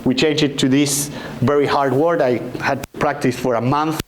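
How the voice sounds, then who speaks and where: A doctor in his forties with an Argentinian accent lectures patients in a conference room, speaking into a handheld microphone. His delivery is relaxed and chatty, full of "okay?" and "right?" asides. A faint hum of the room sits behind him. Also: mid-range voice, moderate pace, rough recording. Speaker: doctor giving a health talk. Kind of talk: informal patient education lecture.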